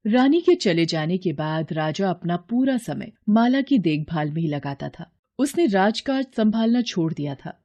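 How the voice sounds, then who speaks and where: A woman's voice: on the low side, lively intonation, normal speed, few pauses, warm tone, lo-fi sound. A woman reading scripted fairy-tale narration as the storyteller. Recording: clear background noise.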